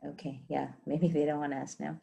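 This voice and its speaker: A woman's voice: sits low, little pitch movement, fairly quick, creaky, warm tone. A woman organizing an online class, speaking politely and briefly over a video call.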